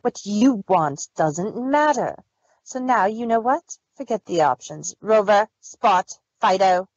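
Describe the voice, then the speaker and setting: A man's voice: high-pitched, animated, slow pace, no room sound, muffled recording, pitched above the performer's own voice, exaggerated voice. A man voicing a bossy, gloating pony villainess in a storybook reading, with a domineering, taunting manner. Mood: bossy, angry.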